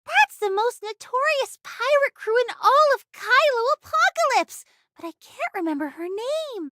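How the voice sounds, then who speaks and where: High-voiced, feisty young woman. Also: lively intonation, normal speed, bright, forceful tone, dry recording, clean studio sound, exaggerated voice. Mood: alarmed. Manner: emphatic, scolding. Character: feisty, indignant young woman, pirate-world rebel. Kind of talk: anime video game voice acting.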